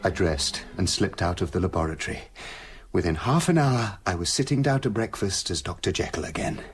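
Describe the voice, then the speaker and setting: An actor playing a tormented British gentleman scientist confessing his dark side in a brooding, literary radio drama narration. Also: low-pitched, very animated, fairly quick, faint music behind. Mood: grave, confessional.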